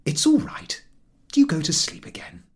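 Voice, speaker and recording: A man voicing a sensible, calm wife in a storybook reading, composed and matter-of-fact. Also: moderate pace, in a whisper, natural voice. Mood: soothing.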